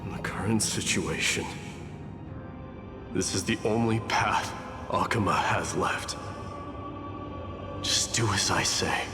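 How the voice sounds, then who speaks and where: An actor playing a proud, self-sacrificing warrior demigod in a video game, speaking in a solemn, measured, declamatory way. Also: male voice, sits low. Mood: grave, resolute. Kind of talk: dramatic game voice acting. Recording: echoey reverberant hall, music behind.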